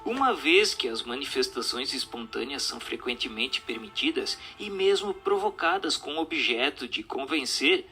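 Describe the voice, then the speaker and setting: Um ator brasileiro interpretando uma voz solene e instrutiva numa radionovela espírita, em tom formal e declamatório. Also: male voice, medium pitch, bright, forceful tone. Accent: Brazilian Portuguese. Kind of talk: scripted radio drama reading of a spiritist question-and-answer dialogue.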